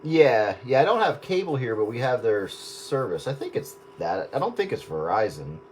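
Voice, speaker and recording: A wry, hesitant man in his mid-range voice talks over a radio link, pausing to think as he goes. Clear static hiss runs under him. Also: mid-range voice, big pitch swings, a little mellow. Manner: hesitant, self-deprecating, wry. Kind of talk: casual radio chat.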